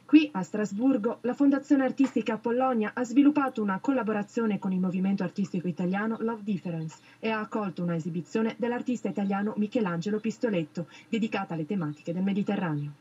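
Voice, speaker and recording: Brisk woman news narrator. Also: mid-range voice, warm tone, breathy edge, lo-fi sound. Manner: brisk, formal. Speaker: news reporter.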